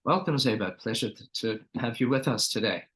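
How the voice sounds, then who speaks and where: Man, interview host, polite, formal, middle pitch. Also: brisk, a bit bright. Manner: polite, formal, reads an introduction.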